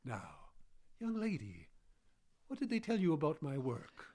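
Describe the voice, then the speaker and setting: Radio horror drama: obsessive, doddering old botanist, slow and husky, stammers and repeats himself. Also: male voice, a little high, huge pitch swings, dark tone, exaggerated voice. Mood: muttering, distracted. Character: eccentric old male botanist, testy, absent-minded, obsessive.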